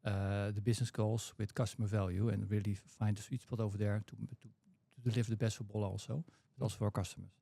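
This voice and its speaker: A middle-aged Dutch man with a Dutch accent, a podcast guest who chats in a relaxed way and often repeats words while he searches for them. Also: lowish voice, fast, gravelly, few pauses, no echo. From Netherlands. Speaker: guest, head of product. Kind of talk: casual podcast conversation.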